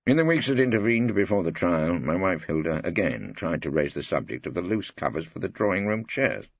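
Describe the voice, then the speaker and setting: A wry older English barrister, played by a man tells his own story in a husky, gravelly low voice, drolly and with great swings of intonation. It is an audiobook. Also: sing-song, fairly quick, no echo, dull audio, exaggerated voice. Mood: dry, innocent. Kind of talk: first-person audiobook narration with dialogue.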